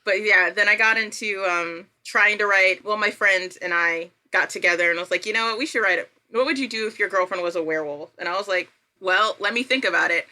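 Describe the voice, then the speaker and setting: A woman with a bright, forward voice at an ordinary pitch, chatting as a guest on a podcast. She tells anecdotes in an animated, self-deprecating way, often quoting what she was thinking. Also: a little fast. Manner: animated, self-deprecating, quotes own thoughts aloud. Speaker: podcast guest, writer and creator. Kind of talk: casual chat, anecdotal storytelling.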